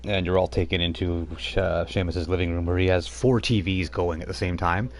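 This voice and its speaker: Deep-voiced man narrating a tabletop game. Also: steady tone, brisk, slight background noise. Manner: rambling with many fillers and restarts. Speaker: game master.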